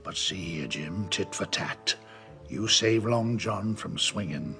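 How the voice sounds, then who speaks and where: An actor playing a wily, domineering middle-aged pirate captain with a gravelly voice, theatrical and full of rustic sailor talk, in an audio drama. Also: male voice, deep voice, lively intonation, takes it slowly, sharp tone, soft background music, full character voice. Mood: low, scheming.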